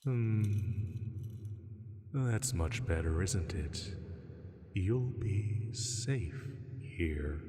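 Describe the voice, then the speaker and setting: A huge ancient dragon, acted by a man, speaks low and extremely slowly, with long reverb around every phrase. The manner is lordly yet hushed and protective, made to soothe in a sleep-aid roleplay. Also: expressive, a little hiss or hum, heavily acted. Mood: soothing. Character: huge ancient male dragon, calm, protective, gently possessive.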